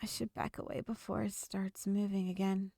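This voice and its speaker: A woman reading a visual novel aloud for a gameplay video, expressive and dramatic, drawing out her delivery. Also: mid-range voice, moderate pace, vocal fry, no echo, studio quality. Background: game music.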